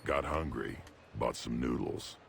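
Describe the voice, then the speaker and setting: Very deep gravelly alien brute. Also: male voice, a little slow, faint music behind, exaggerated voice. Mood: grudging. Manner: deadpan, terse storytelling. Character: huge young male alien krogan brute, blunt, mischievous, unrepentant. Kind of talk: video game character dialogue.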